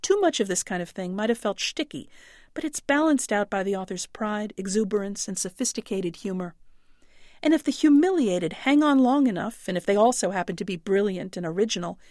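A woman's voice: medium pitch, animated, brisk, darkish tone, no echo, clean studio sound. A woman book critic delivering a scripted radio review in a wry, articulate storytelling tone.

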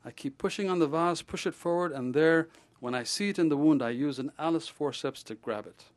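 A man voicing a blunt, jovial male surgeon who tells stories in a lively, teasing and theatrical way. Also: on the high side, a little fast, pitched above the performer's own voice, lightly acted. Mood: matter-of-fact.

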